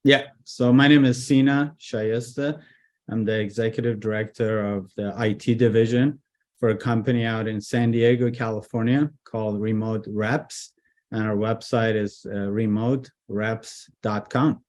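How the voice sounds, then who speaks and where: Give me a relaxed man from Iran talking about his company, with lots of fillers. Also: fairly low pitch, unhurried. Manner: relaxed, many fillers and restarts. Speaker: guest, IT executive director. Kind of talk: casual interview talk.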